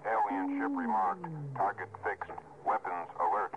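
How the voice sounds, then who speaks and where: A man's voice: fairly low pitch, very animated, unhurried, gravelly, full character voice. An actor playing a dutiful spaceship crewman, giving clipped, formal reports in 1950s radio drama style. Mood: formal, alert. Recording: dull, noisy vintage radio recording.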